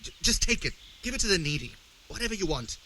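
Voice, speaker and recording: An actor playing a nervous, defensive village scavenger in a video game, speaking in a stammering way. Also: male voice, high-pitched, big pitch swings, quick pace, over loud music, low-quality recording. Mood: cowed, flustered. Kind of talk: video game dialogue acting.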